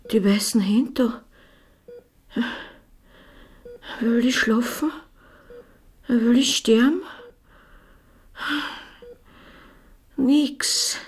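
Slow, breathy, dazed wounded woman. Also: a little high, heavily acted. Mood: pained, weary. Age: 50s. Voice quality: breathy, often whispering. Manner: halting, repetitive, dazed questioning. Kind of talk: radio drama acting.

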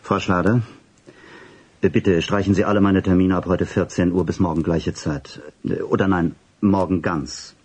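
A flustered male boss in a radio play, with a low and very rough, breathy voice. He issues secretive orders in an officious, clipped way, stumbling and repeating himself as he dictates. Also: steady tone, normal speed, a little mellow. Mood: brisk, secretive. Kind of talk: radio drama acting, phone calls and dictation.

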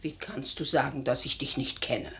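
A woman's voice: very deep voice, normal speed, a bit bright, whispered. An actress playing an older, poor village mother, insistent, motherly and reproachful, with plain questioning speech in a German radio play. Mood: dismayed, reproachful.

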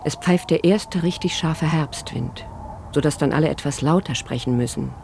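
A man's voice: a little high, normal speed, creaky, background noise. A man announcing dates and setting scenes as a scripted radio drama narrator. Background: faint music.

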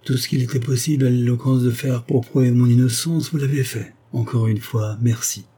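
A man's voice: mid-range voice, steady tone, brisk, warm tone, husky, voice pushed up. A man reading aloud, voicing a condemned young woman who is proud, resolute and set on revenge, with an intense, defiant delivery. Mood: grateful, grave.

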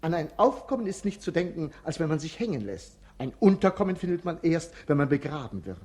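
Witty idle manservant, slightly high, husky, mocking puns, 1950s radio drama. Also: male voice, very animated, a little fast, a little mellow. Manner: punning, cheeky and mocking. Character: witty, idle male servant and jester-like companion. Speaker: actor in a radio play. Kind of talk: 1950s radio play acting.

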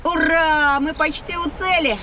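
A woman's voice: sits high, fairly even intonation, a little slow, recorded from a distance, over music. A woman playing a reckless, carefree schoolboy in an educational children's audio drama, breezy, boastful and dismissive. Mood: excited, careless.

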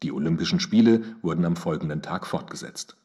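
An actor as a documentary narrator in a radio drama, reading scripted facts in a formal, news-like manner. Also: male voice, low voice, fairly quick, noisy background, close to an everyday voice. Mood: sober, factual. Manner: factual, formal. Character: sober male documentary narrator.

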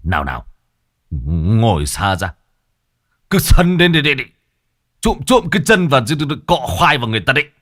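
A man's voice: mid-range voice, very animated, moderate pace, long pauses, a bit bright, no room sound, studio quality. A man voicing a flustered older male fortune teller who stammers and fends someone off.

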